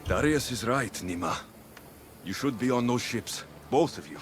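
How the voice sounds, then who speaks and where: An actor playing a confident, warm young Greek mercenary hero in a video game, with a Greek accent. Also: male voice, medium pitch, lively intonation, moderate pace, lightly acted. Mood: resolute. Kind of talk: video game cutscene acting. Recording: music behind.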